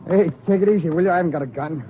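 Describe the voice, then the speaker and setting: Higher-voiced actor as a cocky crime suspect. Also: male voice, rapid-fire, soft background music, close to an everyday voice. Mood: protesting. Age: 30s. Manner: wisecracking, protesting. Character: glib, cocky, defensive male crime suspect. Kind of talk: 1950s radio drama acting. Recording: tinny, noisy old radio recording.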